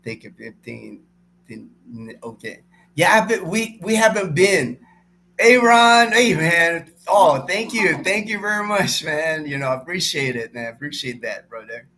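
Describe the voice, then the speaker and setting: A man's voice: fairly high pitch, expressive, a little slow, sharp tone, a little hiss or hum. A middle-aged man from the Philippines hosting a live stream, chatting casually and informally with viewers and often repeating himself. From Philippines, born and raised in Manila until twelve.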